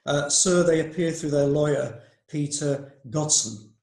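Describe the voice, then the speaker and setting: A man's voice: a little high, unhurried, a little mellow, roomy sound. A man playing a dutiful court clerk who announces names and oaths in a formal, slightly hesitant way. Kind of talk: amateur radio drama.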